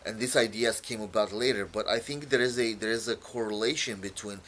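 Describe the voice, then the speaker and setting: A man from Romania, whose first language is Romanian, explains his own poem in a halting way, full of fillers and repeated words. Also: fairly low pitch, a bit bright, a little hiss or hum. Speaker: poet.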